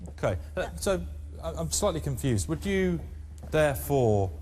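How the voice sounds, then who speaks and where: A man hosting a studio debate, asking probing questions in a halting way with many fillers. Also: on the high side, big pitch swings, a little mellow, slight background noise. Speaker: debate show host.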